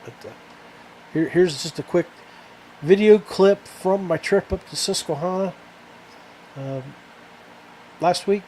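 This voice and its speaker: A man hosting a fishing podcast in a casual, rambling, halting way that often trails off. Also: sits high, warm tone, a little hiss or hum, low-quality recording.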